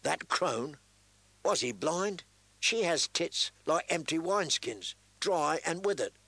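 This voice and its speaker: A man's voice: fairly high pitch, animated, slow, creaky, bright, forceful tone, slightly rough, no room sound, pitched above the performer's own voice, exaggerated voice. A man voicing an arrogant young prince who mocks and threatens his captives. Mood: mocking. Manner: sneering, commanding.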